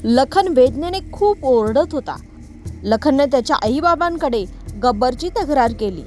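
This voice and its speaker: High-pitched woman narrating a story. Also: expressive, moderate pace, darkish tone, slight background noise. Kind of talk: animated children's moral story narration.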